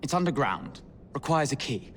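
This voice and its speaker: An actor playing a cheeky, wheedling working-class London rogue with a Cockney accent in a game cutscene, chatty and mock-courteous. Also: male voice, mid-range voice, very animated, normal speed, a bit bright, big-room sound, a little hiss or hum. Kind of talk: dramatic video game cutscene acting.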